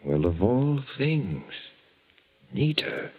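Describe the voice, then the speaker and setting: A man's voice: mid-range voice, very animated, breathy edge, heavily acted. An actor playing a weary, weak-willed married man in debt, speaking hesitantly in a 1940s radio drama. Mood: stunned, tender. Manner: hesitant, halting. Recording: dull, lo-fi 1940s radio recording.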